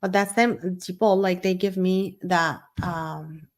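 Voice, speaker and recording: Slow, unscripted woman. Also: lowish voice, steady tone. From Syria. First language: Arabic. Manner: loose, rambling, frequent fillers and restarts. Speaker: host. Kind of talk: unscripted live talk.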